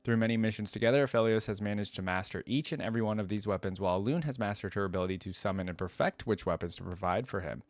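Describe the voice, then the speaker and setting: A man reading lore aloud for a reaction video, dropping in casual, curious asides as he thinks out loud. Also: fairly low pitch, very fast, few pauses, no room sound.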